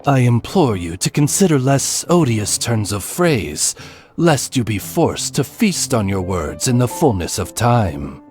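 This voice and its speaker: A man's voice: medium pitch, sing-song, unhurried, darkish tone, faint music behind. A man voicing a courtly, wry talking raven in a video game, who serves a young lady with ornate, deferential formality. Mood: wry reproach.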